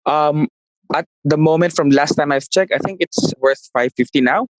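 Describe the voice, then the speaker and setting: A man's voice: a little high, moderate pace, no echo, rough recording. Man in his thirties, dry close sound. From Philippines. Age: 30s. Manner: searches for words, frequent restarts. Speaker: guest, property investor. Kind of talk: casual interview answers.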